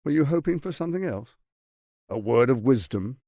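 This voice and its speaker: An actor playing a suave, ambitious, scheming lord in a video game, speaking in a theatrical, articulate and condescending way. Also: male voice, medium pitch, animated, normal speed, mellow, dry recording, studio quality. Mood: grandly smug. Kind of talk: dramatic video game voice acting.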